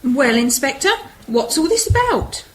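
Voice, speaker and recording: High-voiced, polite married woman played by an actress. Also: very animated, unhurried, rough recording. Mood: concerned, inquisitive. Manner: hesitant, courteous. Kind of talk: radio play acting. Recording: clear background noise.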